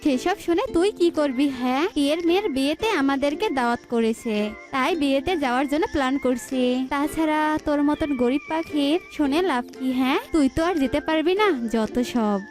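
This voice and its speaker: A woman's voice: high-pitched, moderate pace, a little mellow, soft background music, natural voice. A woman voicing a snooty, scornful female crow who mocks and dismisses others in a children's cartoon. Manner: mocking, dismissive.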